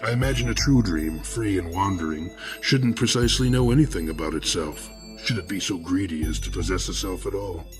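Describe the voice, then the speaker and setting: An actor playing a serene, philosophical serial killer who narrates horror fiction in measured, florid, formal phrases. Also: male voice, low voice, faint music behind, close to an everyday voice. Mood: calm, musing.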